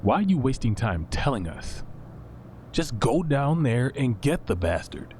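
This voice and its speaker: An actor playing a brash, impatient schemer in a podcast drama, blunt, slangy and sarcastic. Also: male voice, medium pitch, sing-song, a little slow, darkish tone, a little hiss or hum. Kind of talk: naturalistic fiction podcast acting.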